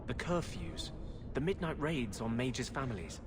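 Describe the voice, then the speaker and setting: Game role: male actor as embittered mage healer in hiding, mid-pitched, urgent and aggrieved, over music. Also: lively intonation, measured pace, a crowd in the background, close to an everyday voice. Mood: weary, agitated. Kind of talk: dramatic video game dialogue.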